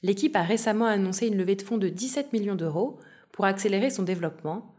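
A woman hosting a podcast, reading a clear, upbeat intro and then asking the guest questions. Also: medium pitch, fast talker, darkish tone. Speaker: podcast host. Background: music.

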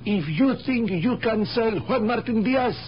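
A man's voice: very high-pitched, a little slow. Radio drama role: fatherly Spanish-accented grocer, colorful phrasing, effusive; muffled, slightly noisy sound. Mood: excitable, jovial. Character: warm, fatherly, excitable immigrant shopkeeper.

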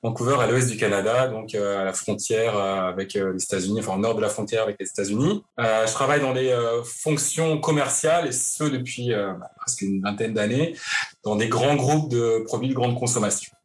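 A man's voice: on the low side, a little fast. A man in his forties from Paris, telling his story as a podcast guest with frequent hesitations and restarts. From Paris, France. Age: 40s. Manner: hesitant, many fillers and restarts. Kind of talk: interview, personal story.

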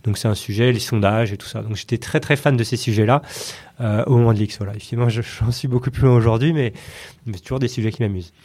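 A man's voice: mid-range voice, steady tone, darkish tone. Hesitant Parisian man in his late thirties. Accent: Parisian French. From Paris region, France. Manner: hesitant, with many word repetitions and filled pauses. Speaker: podcast guest, company chief executive. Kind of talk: casual interview talk.

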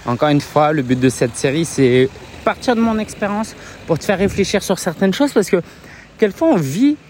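A man's voice: high voice, expressive, moderate pace, darkish tone, slight background noise. A man in his thirties from France with a French accent, talking casually to camera as a coach, hesitant and chatty with lots of fillers. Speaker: business coach. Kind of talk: casual vlog monologue.

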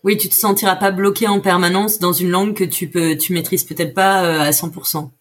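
A woman's voice: lowish voice, steady tone, brisk. A woman conducting a casual interview in an informal, supportive way, rephrasing what she hears.

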